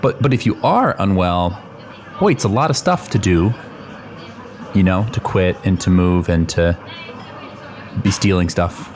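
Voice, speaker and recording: A man improvising a radio-play role as a concerned, awkward office colleague, reasoning tactfully and haltingly. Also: sits low, animated, darkish tone, soft background music.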